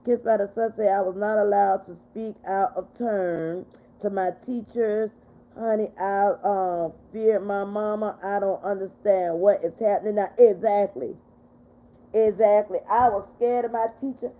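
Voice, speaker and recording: Woman in her fifties, livestream host, chatty and animated, medium pitch, phone-like narrow sound. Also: measured pace. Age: 50s. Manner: animated, talks back to viewers. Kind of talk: casual chat reading live comments.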